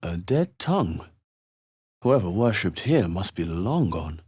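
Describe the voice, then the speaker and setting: An actor voicing a thoughtful, wary male adventurer in a fantasy video game, with a measured, musing delivery. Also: deep voice, sing-song, a little mellow, breathy edge, no echo, studio quality, exaggerated voice. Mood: thoughtful, guarded. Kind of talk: video game character voice lines.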